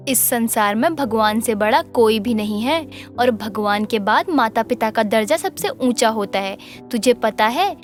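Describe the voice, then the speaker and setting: A woman voicing a caring, concerned village mother in an animated moral story. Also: on the high side, close to an everyday voice.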